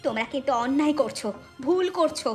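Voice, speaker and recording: A woman's voice: high voice, expressive, fast talker, faint music behind. A woman voicing a young daughter-in-law, proud and indignant, in a melodramatic cartoon manner. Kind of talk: melodramatic cartoon story acting.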